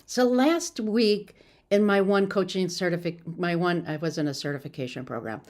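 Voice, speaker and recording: A woman's voice: on the low side, normal speed, a little mellow. A woman giving an informal, encouraging motivational talk as a success coach, sometimes stumbling over her words.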